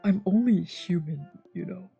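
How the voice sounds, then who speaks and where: A woman's voice: fairly low pitch, moderate pace, gravelly, warm tone, slightly rough, faint music behind, heavily acted. A woman voicing a tired, guarded male doctor from a visual novel in a subdued, curt way. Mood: weary. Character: tired, guarded adult male doctor, apologetic but closed. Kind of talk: read-aloud dub of a visual novel.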